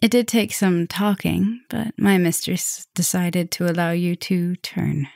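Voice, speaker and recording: A woman playing a flirtatious, caring, softly dominant vampire professor in an intimate roleplay ASMR, speaking softly and breathily with teasing drifts into whispers. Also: fairly low pitch, fairly even intonation, a little slow, creaky, no room sound, studio quality. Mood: tender, serious.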